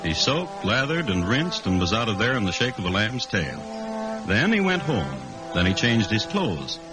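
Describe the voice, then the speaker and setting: Droll, deliberate American crime narrator, low-pitched, vintage radio style, clear music underneath. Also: male voice, very animated, rough recording. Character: dry, deadpan male crime connoisseur narrating murders. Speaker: host and narrator. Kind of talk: 1950s radio scripted narration.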